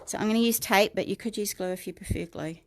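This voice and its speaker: A middle-aged woman with a New Zealand accent, croaky this morning, chatting through a livestream craft tutorial and greeting viewers by name. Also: medium pitch, fast talker, a little mellow. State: croaky, clearing her throat.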